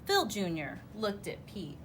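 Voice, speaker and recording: A woman reads a children's story expressively. Her pace is slow and her pitch moves widely, over faint background noise. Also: huge pitch swings, fairly bright tone.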